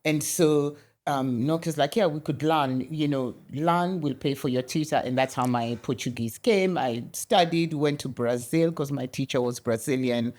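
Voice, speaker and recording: A woman's voice: very deep voice, normal speed, hardly any pauses. Kenyan woman guest on a talk show, middle aged, chatty, lots of restarts. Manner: animated storytelling, many restarts. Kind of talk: conversational interview.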